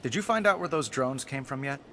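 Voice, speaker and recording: Wry, animated superhero inventor. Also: male voice, mid-range voice, fairly quick, soft background music. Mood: focused, impatient. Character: adult male superhero inventor, wry, driven boss. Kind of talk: video game voice acting.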